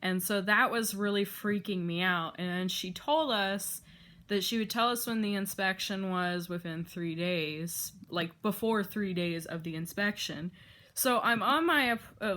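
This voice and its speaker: A woman's voice: mid-range voice, a bit bright. Young woman vlogger, slight Wisconsin accent, casual rambling delivery with frequent fillers and laughs. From Arizona.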